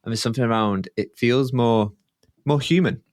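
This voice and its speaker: A man's voice: mid-range voice, moderate pace, darkish tone, no echo, studio quality. A man hosting a podcast interview, chatting casually in a friendly, hesitant way with fillers and half-started phrases.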